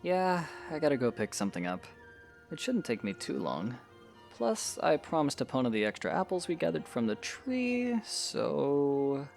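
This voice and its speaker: A man playing a patient young swordsman hero in an audio drama, coaching in a gravelly, encouraging way. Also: on the high side, big pitch swings, moderate pace, a little mellow, soft background music. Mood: easygoing, reassuring. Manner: patient, instructive, reassuring. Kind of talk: scripted audio drama acting.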